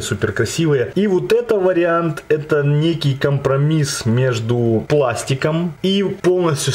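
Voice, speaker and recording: A man with a hoarse, mid-pitched voice talks through a watch unboxing in an eager, rambling, slangy way. He is recorded indoors on a camera mic. Faint room noise sits behind him. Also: a little mellow. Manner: chatty, enthusiastic, slangy and digressive. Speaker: watch reviewer. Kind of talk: casual unboxing review.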